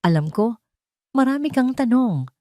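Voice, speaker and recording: A woman voicing a male ex-lover, a scheming businessman who speaks calmly but with threat, in an audio drama. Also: on the high side, moderate pace, no room sound, clean studio sound, voice pushed up. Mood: calm menace.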